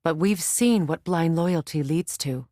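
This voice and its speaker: A woman playing a proud, guarded warrior goddess in a video game, speaking in a measured, commanding, solemn manner. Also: sits low, warm tone, no room sound, clean studio sound. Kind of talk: dramatic video game acting.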